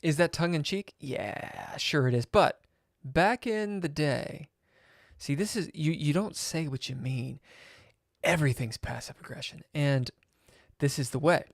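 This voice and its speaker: Slow, sardonic ranting man. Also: fairly high pitch, vocal fry, no room sound, studio quality. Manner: sardonic, swears, swings between loud outbursts and whispered asides. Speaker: chess streamer. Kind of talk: rambling storytelling on a live stream.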